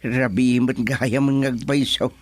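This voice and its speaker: Slow-talking, forgetful old Filipino father played by a man. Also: mid-range voice, steady tone, no echo, natural voice. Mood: bemused, grumbling. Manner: drawn-out, full of sighing interjections. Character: forgetful, grumbling elderly Filipino father in a comedy. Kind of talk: Ilocano comedy radio drama acting.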